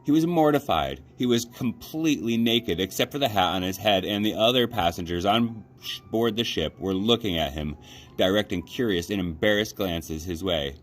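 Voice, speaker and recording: A man reading a children's story aloud as an expressive narrator, like a librarian at story time. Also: on the low side, normal speed.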